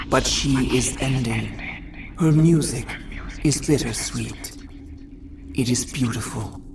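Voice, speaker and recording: An actress playing a solemn alien insect queen in a video game, speaking through a thrall in stilted, flat, poetic phrases with an otherworldly manner. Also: low voice, distant mic, background noise, exaggerated voice. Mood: solemn, reverent. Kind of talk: video game cutscene acting.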